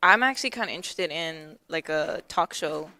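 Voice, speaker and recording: A young woman co-hosting a radio show, speaking in a monotone, deadpan way. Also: sits low, moderate pace, no echo. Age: young adult. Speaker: radio co-host. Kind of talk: casual studio banter.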